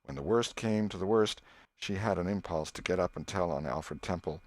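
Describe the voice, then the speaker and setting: A man reading an audiobook as an expressive scripted storyteller. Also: low-pitched, moderate pace, dry recording. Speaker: audiobook narrator.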